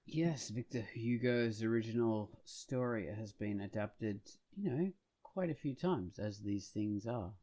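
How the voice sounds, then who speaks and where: A man's voice: on the low side, unhurried, hardly any pauses, mellow. A man co-hosting a podcast in a casual, conversational discussion. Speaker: podcast co-host.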